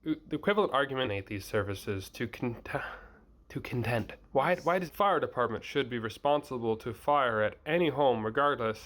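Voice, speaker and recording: A man with a mid-pitched voice reads an article aloud in an everyday speaking tone. He can trip over words and repeat phrases, and he drops into casual asides. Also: expressive, moderate pace, a bit bright. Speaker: host reading a blog post aloud.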